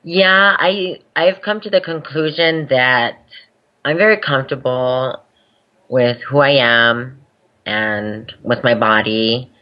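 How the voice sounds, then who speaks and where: A woman's voice: very deep voice, slow. A young adult woman speaking candidly as an interview guest, laughing easily as she talks. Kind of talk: casual interview chat. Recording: dull lo-fi podcast audio.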